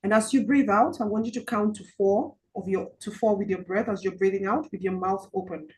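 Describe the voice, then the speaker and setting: A woman coaching a group on money mindset over a video call. She talks haltingly with many ums and restarts, and slows into soft guided-relaxation instructions. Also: mid-range voice, steady tone, poor audio. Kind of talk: online group coaching session with guided visualization.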